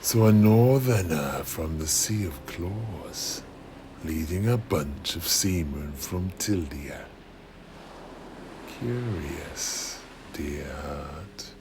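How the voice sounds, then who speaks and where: A man voicing a menacing, courtly undead pirate captain with a gravelly voice and theatrical, archaic, drawn-out speech. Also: low-pitched, lively intonation, background noise, pitched below the performer's own voice, heavily acted. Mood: sinister courtesy.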